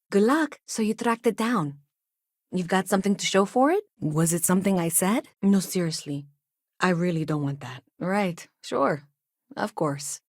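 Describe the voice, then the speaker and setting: A woman's voice: on the low side, darkish tone, dry recording, clean studio sound. An actress playing an anxious, earnest, chatty woman engineer in a sci-fi game, conversational and hesitant with wry asides. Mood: wry, frustrated. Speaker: video game character. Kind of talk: naturalistic video game dialogue acting.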